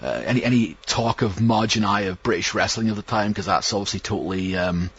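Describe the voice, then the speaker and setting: A man's voice: fairly low pitch, husky. Rambling male podcast host, dull sound. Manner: rambling, with many restarts and fillers. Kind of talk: casual podcast introduction.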